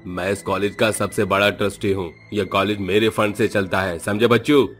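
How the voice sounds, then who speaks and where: A man's voice: lowish voice, flat delivery, moderate pace, fairly bright tone, natural voice. A man voicing an arrogant, rich middle-aged trustee and father in a cartoon, pompous and belittling. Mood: contemptuous. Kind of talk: cartoon voice acting. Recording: music under the voice.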